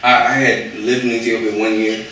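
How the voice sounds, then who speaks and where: A man's voice: on the low side, little pitch movement, normal speed, bright, forceful tone, a little hiss or hum. A young American man talking casually in an interview, hesitant, with many fillers and restarts. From United States. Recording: echoey room, voice from far off.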